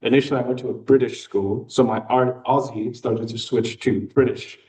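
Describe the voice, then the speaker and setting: A young American man giving a casual personal talk with slides to a club meeting, relaxed and chatty with frequent fillers. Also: medium pitch, normal speed, distant mic, low-quality recording. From United States. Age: young adult. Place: meeting room.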